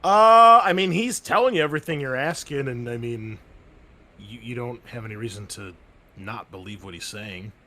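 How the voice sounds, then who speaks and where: A man running a tabletop game as game master, talking in halting, stammering bursts. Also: mid-range voice, pushed and bright, a little hiss or hum.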